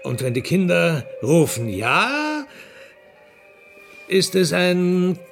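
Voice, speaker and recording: An actor playing an awkward, bookish job applicant in a radio play, hesitant, polite and self-deprecating. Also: male voice, high voice, huge pitch swings, extremely slow, vocal fry, soft background music, full character voice. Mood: reciting.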